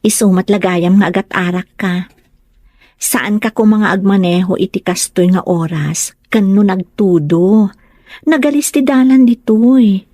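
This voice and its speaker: A woman playing a caring, gently nagging young fiancée in an audio drama, gentle and solicitous. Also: mid-range voice, unhurried, dry recording, studio quality, natural voice. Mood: worried. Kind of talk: Ilocano radio-style drama dialogue.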